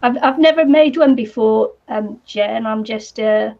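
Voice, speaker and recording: A woman with a New Zealand accent chatting casually on a live craft stream, her voice croaky today, rambling with lots of ums and little laughs. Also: fairly high pitch, measured pace, darkish tone. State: croaky voice from the heat. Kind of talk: casual chat while crafting. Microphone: computer or camera mic with a fan nearby. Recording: slightly dull lo-fi sound.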